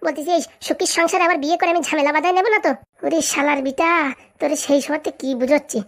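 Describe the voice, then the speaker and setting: Woman voicing high, rapid-fire village cartoon character. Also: fairly even intonation. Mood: excitable, bantering. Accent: rural Bengali. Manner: colloquial, bantering, exclamatory. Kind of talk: comedy cartoon dialogue.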